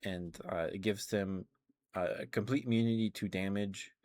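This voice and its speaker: Deep-voiced man in casual ASMR chat. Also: fairly even intonation, moderate pace, a little mellow, no echo, clean studio sound. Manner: rambling, hesitant, trailing off and self-correcting. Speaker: live streamer hosting an ASMR stream. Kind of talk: casual ASMR chat about video games.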